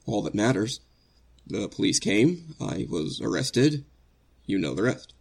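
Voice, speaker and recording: An actor playing an anguished innocent man facing execution in a 1940s-style radio drama, earnest and halting, stammering with emotion. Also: mid-range voice, very animated, creaky, darkish tone, slightly rough, full character voice. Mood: regretful.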